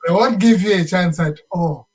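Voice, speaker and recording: A man's voice: sits high, moderate pace, breathy edge. A man with a Zambian accent chatting as an interview guest, emphatically restating his points and slipping into local phrases. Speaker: show guest, musician. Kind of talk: casual interview chat.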